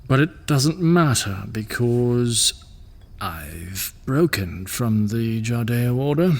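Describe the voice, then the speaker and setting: A man's voice: mid-range voice, very animated, slow, heavily acted. An actor playing a weary, wry middle-aged ruler and father in an audio drama, speaking hesitantly. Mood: hesitant, confiding.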